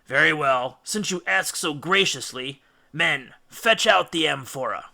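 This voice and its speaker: A man's voice: a little high, measured pace, creaky, pushed and bright, exaggerated voice. A man playing a cunning, commanding Greek hero and captain in an audiobook, with firm, declamatory delivery. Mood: stern, persuasive. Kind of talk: audiobook character acting.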